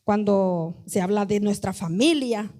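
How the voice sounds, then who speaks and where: A woman's voice: medium pitch, unhurried, dark tone. A woman pastor preaching a sermon in a conversational, emphatic way, speaking directly to the congregation and acting out quoted voices.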